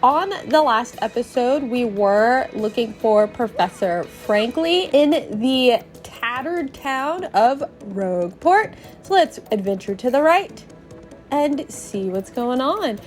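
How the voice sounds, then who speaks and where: Female podcast host for game narration: high pitch, slow pace, expressive storyteller with playful asides. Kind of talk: dramatic narrated video game playthrough. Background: music.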